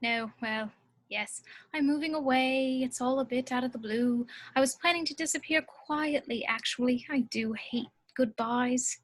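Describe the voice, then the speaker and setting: A woman's voice: sits high, a little slow. A woman reading a chatty, gossipy older village florist in a table read, rambling and confiding. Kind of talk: table read over video call.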